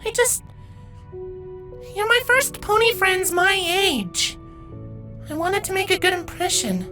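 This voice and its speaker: Earnest, very high-pitched filly princess. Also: female voice, animated, slow pace, pushed and bright, faint music behind, exaggerated voice. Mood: remorseful. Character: young pony princess filly, earnest and apologetic.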